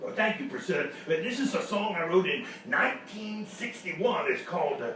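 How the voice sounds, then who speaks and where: A man's voice: a little high, lively intonation, moderate pace, a bit bright, far from the mic, slight background noise. A man playing an aging, easygoing blues musician in a live comedy sketch, with a folksy, rambling, oblivious manner. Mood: chuckling, reminiscing. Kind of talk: live radio-style comedy sketch acting. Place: live show at a hotel venue. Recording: echoey live room, picked up from afar. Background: acoustic guitar.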